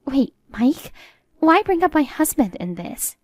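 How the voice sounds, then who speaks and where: Woman playing a meddling mother-in-law, middle-aged, high voice, agitated, indignant, story narration, dry sound. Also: sing-song, measured pace, no echo. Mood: panicked.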